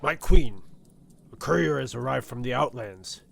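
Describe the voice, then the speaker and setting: A man's voice: mid-range voice, expressive, moderate pace, vocal fry, a bit bright, exaggerated voice. A man playing a formal royal attendant making announcements in a fantasy audio drama.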